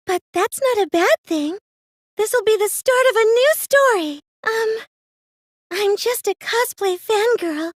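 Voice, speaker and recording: A teenage anime game girl, a self-effacing cosplay geek with a very high, girlish voice. She is soft-spoken yet excitable, unhurried and hesitant, with theatrical, swooping emotion. The sound is dry and close. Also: no room sound, exaggerated voice. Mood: gentle, hesitant.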